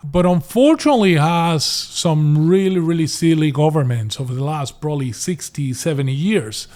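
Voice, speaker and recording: A man's voice: a little high, lively intonation, moderate pace, darkish tone. A middle-aged man from Venezuela with a mixed Latin American, American and Australian accent, telling his story as an interview guest with frequent restarts and fillers. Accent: Venezuelan Spanish, mixed with American and Australian. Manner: restarts and repeats words, many fillers. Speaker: guest, property investor.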